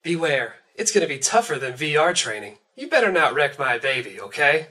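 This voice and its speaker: A man's voice: a little high, very animated, brisk, bright, forceful tone. A man voicing a breezy, chummy mech engineer guiding the player through a video game tutorial. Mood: chummy, upbeat. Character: upbeat, playful mech engineer, proud of his machine.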